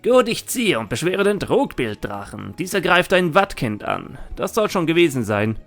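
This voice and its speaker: A man's voice: fairly high pitch, big pitch swings, normal speed, a bit bright, faint music behind. A man playing a confident, defiant young duelist with a dragon deck in an anime fan audio drama.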